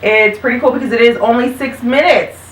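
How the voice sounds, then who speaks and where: A woman's voice: on the high side, brisk, a little hiss or hum. A woman in her thirties hosting a casual family chat show in an upbeat, chatty way. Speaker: host. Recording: roomy sound from a distant mic.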